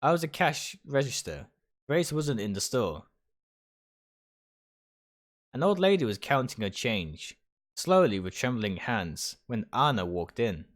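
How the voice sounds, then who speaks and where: A man's voice: mid-range voice, sing-song, moderate pace. A man reading visual novel text aloud in a dramatic storytelling style, sometimes stumbling and rereading words. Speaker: streamer reading a game aloud.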